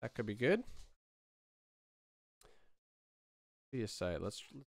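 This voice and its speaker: A man's voice: lowish voice, lively intonation, slow, gravelly, no room sound. An Australian man in his thirties talking casually and offhandedly as he works on a live stream, close to a desk microphone. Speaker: sound designer, live streamer. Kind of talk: casual commentary while working, answering chat. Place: small indoor room.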